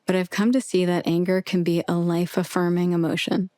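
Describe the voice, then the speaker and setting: A woman narrating a guided meditation with soothing, measured, gentle instruction. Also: on the low side, level tone, no room sound, studio quality.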